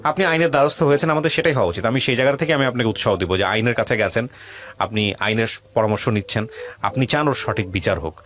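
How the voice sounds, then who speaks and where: Fast-talking Bangladeshi man, insistent radio host. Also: mid-range voice, fairly bright tone. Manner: blunt, insistent, repeats questions and phrases; mixes English words into speech. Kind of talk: probing interview with advice to a guest.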